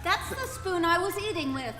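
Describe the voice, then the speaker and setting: A woman's voice: very high-pitched, lively intonation, normal speed. A woman playing a sceptical, questioning character in a comic stage radio play, with dry interjections. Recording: echoey hall with music behind.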